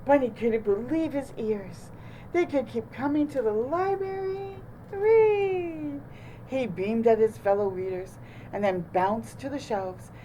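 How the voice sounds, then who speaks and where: A woman reads a children's story aloud as a storytime host. Her voice is soft-toned and unhurried, expressive and deliberate, with clear noise in the background. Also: fairly high pitch, poor audio. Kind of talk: children's picture book narration.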